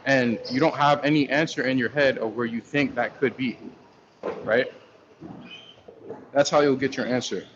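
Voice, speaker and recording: A man chats on a relaxed livestream, rambling through viewer comments in a loose, slangy way with plenty of fillers and restarts. His voice sits at an ordinary middle pitch. The stream audio is dull and lo-fi, with a faint hiss of noise behind it. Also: moderate pace, long pauses. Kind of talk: casual livestream chat.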